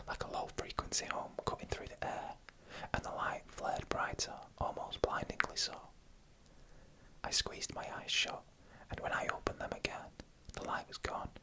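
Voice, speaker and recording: A narrator reading scripted stories in an ASMR style, in a breathy, unvoiced whisper with hushed storytelling delivery. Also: rough recording.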